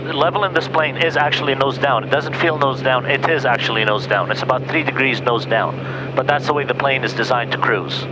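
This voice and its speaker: Male pilot instructor, mid-pitched, clear matter-of-fact explanations, heard through a headset over heavy aircraft drone. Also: fairly quick. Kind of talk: cockpit instruction and radio calls. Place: inside a small turboprop cockpit in flight. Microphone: aviation headset. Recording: loud aircraft cabin noise. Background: loud turboprop engine drone.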